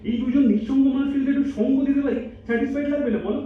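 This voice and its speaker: A man's voice: very high voice, fairly even intonation, rapid-fire. A man playing an impulsive, chatty young boyfriend in a staged audio drama, insistent and dropping English words into his talk. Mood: eager, persuasive. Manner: eager, insistent, repeats himself, mixes in English. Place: multipurpose hall stage. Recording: distant, echoey hall recording, muffled, faint noise.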